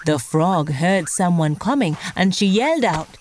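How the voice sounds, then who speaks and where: A woman hosting and telling a scripted children's story, expressive and speaking directly to young listeners. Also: fairly low pitch, measured pace. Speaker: host and storyteller of a children's podcast.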